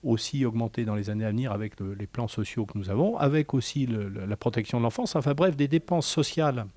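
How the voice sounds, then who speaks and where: A man gives an official's interview answer. His voice is dark and relaxed at a middle pitch, with halting phrasing and restarts. The sound is close and dry. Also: no echo. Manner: halting, hesitant, with repeated restarts. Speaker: local government official.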